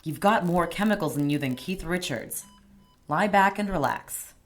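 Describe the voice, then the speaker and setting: A woman plays a prickly, down-to-earth ally in a radio drama. Her voice sits a little low. She speaks bluntly, with wry scepticism. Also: animated, normal speed, soft background music, lightly acted.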